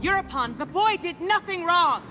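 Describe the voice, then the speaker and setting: A woman's voice: very high voice, expressive, moderate pace, music in the background. An actress playing a fierce, defiant warrior queen in a video game, speaking in an impassioned, commanding and formal way. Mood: outraged. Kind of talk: dramatic video game acting.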